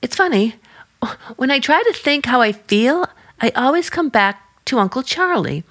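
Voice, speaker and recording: A woman's voice: sits high, expressive, moderate pace, a bit bright, exaggerated voice. An American actress playing an earnest, restless young small-town woman in a radio drama. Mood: wistful, admiring. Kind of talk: old-time radio drama acting.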